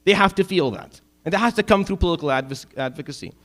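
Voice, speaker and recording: Fast-talking imam preaching. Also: male voice, fairly bright tone. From Syria. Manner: impassioned, rising to emphatic peaks then dropping low and wry. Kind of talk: Friday sermon.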